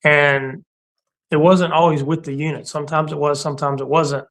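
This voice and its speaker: A middle-aged veteran man chatting casually on a talk show. His voice sits somewhat high for a man, and he drives his points home bluntly, repeating them and laughing between thoughts. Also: little pitch movement, normal speed, fairly bright tone. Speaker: co-host; Army warrant officer veteran. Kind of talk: casual conversation.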